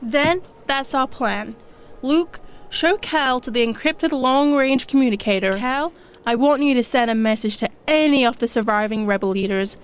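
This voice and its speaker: A woman playing a decisive young warrior leader in an audio drama, brisk and commanding. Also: high voice, clean tone, natural voice. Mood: resolute.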